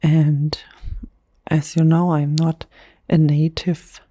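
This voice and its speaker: A German woman, a native German speaker talking in English, whispering softly in a gentle ASMR chat. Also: sits low, little pitch movement. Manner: soft, slow, unhurried whisper with gentle pauses. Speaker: ASMR creator.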